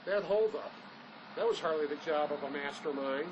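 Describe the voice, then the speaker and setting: A man's voice: fairly high pitch, normal speed, dull audio, noisy background, close to an everyday voice. A man playing a skeptical, sarcastic fugitive in an audio drama, grumbling sardonically at his partner.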